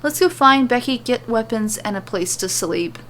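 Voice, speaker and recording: A woman's voice: fairly high pitch, animated, normal speed, a bit bright. A woman voicing a casual, unbothered adventuring heroine with an offhand, brief manner. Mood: brisk. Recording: clearly noisy recording.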